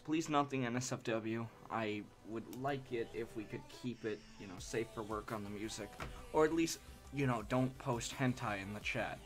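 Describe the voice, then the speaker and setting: A young man live-streaming his drawing session, sniffly from allergies and chatting in a rambling, halting, apologetic way. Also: mid-range voice. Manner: rambling and halting, with frequent restarts; self-deprecating and apologetic. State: sniffly, stuffy nose from allergies. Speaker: art streamer. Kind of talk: casual live-stream chat while drawing. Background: soft background music.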